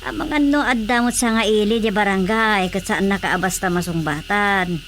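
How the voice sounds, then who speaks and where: An actress playing a gentle, reassuring mother in a radio drama. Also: medium pitch, moderate pace, slight background noise, close to an everyday voice. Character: caring village mother. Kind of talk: Ilocano radio drama acting.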